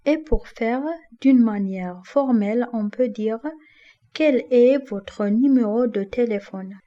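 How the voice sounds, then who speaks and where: A woman teaching a beginner language lesson, saying each example slowly and carefully, then explaining quickly in a second language. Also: a little high, warm tone, muffled recording.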